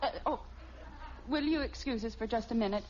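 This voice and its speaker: A woman's voice: fairly high pitch, a little fast, a little mellow, close to an everyday voice. An actress playing a proper, fussy, easily flustered wife and mother in an old-time radio family sitcom. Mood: flustered politeness. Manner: fussy, exasperated, polite. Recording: muffled, noisy old radio recording.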